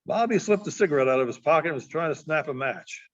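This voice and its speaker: A man narrates short stories as a librarian at a reading. His voice sits high and moves through a wide, lively range. The recording is slightly dull and lo-fi. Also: fast. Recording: dull, muffled lo-fi sound.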